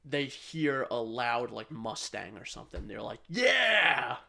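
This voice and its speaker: A man's voice: medium pitch, sing-song, takes it slowly, creaky, bright, forceful tone. A man co-hosting a podcast, chatting casually and giving opinions in a blunt, sweary way. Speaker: podcast co-host.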